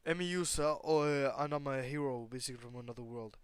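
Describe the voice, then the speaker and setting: A calm, questioning young heroine from another world in an anime tale, voiced by a man. The delivery is hesitant and curious, in a clear voice with a dry sound. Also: mid-range voice, quick pace, dry recording, natural voice.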